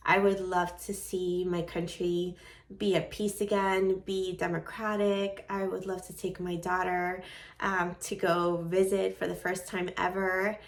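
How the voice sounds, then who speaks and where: Woman in her forties, light Venezuelan accent, earnest vlogger with a lowish, gravelly voice, a little off-mic. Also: flat delivery, unhurried, recorded from a distance. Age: 40s. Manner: earnest, heartfelt, with soft laughs. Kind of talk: personal vlog talk to camera. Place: at her desk at home.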